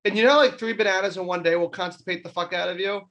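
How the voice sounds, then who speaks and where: High-voiced joking podcast guy. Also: steady tone, fast talker, fairly bright tone, highs cut off. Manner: rambling, stammering, laughs a lot. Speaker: podcast co-host. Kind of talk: casual comedic chat.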